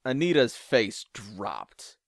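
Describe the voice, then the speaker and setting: Man, story narrator, mid-pitched voice, scripted audio drama reading, dry recording. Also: very animated, slow pace, dry recording.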